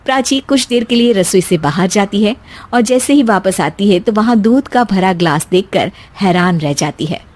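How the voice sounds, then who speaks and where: A woman's voice: medium pitch, lively intonation, normal speed, a little mellow. A woman narrator reading a scripted story in a storytelling style.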